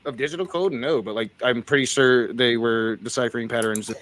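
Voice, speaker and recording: A man's voice: mid-range voice, brisk, fairly bright tone, low-quality recording. A man debating casually in an easygoing, somewhat hesitant, informal way.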